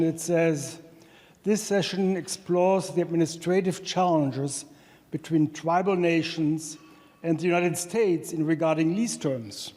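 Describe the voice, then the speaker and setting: A middle-aged man with a German accent, an economics professor giving a conference talk from a podium, speaking haltingly with many restarts and fillers. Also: on the high side, darkish tone. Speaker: economics professor presenting research. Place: large conference hall, at a podium. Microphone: handheld microphone. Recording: hall reverb.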